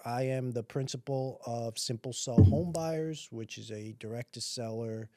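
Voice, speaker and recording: A man's voice: mid-range voice, warm tone, no echo, clean studio sound. A man guest on a podcast, introducing himself in a laid-back, wry and teasing way. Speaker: podcast guest, real estate investor. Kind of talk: casual podcast chat.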